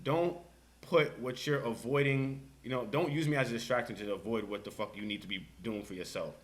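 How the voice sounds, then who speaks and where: A man talks at a rapid clip in a loose, candid podcast conversation. His pitch is mid-range, and his phrasing is slangy and emphatic, often circling back to repeat a point.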